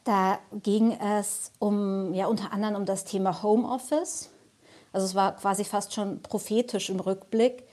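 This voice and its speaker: A woman's voice: mid-range voice, fairly even intonation, moderate pace, darkish tone. A woman giving reflective interview answers as a jury member, searching for her words.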